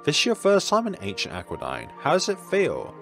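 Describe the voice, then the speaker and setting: A man voicing an excitable, friendly young character from a visual novel. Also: a little high, brisk, vocal fry, soft background music, pitched above the performer's own voice, exaggerated voice. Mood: cheerful.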